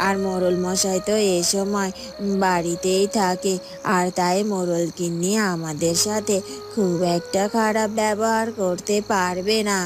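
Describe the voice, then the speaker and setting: A woman playing a caring, sensible village foster mother in a cartoon, speaking in a measured way. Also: medium pitch, steady tone, music in the background, lightly acted. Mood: reasoning. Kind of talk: Bengali cartoon voice acting.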